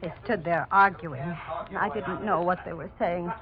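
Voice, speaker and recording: A woman's voice: fairly low pitch, unhurried, crowd noise, natural voice. An actress playing a terrified, distraught young woman narrating a murder mystery in dramatic 1940s radio style. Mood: weary, numb. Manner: breathless, dramatic, anguished. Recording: dull, noisy 1940s radio recording.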